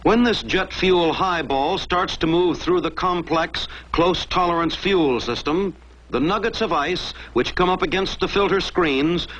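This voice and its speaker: American man, vintage military film narrator, fairly high voice, animated intonation, emphatic, muffled lo-fi soundtrack. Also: a little hiss or hum. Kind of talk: scripted mid-century military training film narration. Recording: dull lo-fi old film soundtrack.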